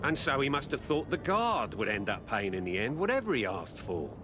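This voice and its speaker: An actor playing an evasive, stubborn merchant's apprentice in a video game, deferential and full of whiny excuses. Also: male voice, mid-range voice, sing-song, fairly quick, natural voice. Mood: defensive. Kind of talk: scripted video game dialogue. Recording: music behind the voice.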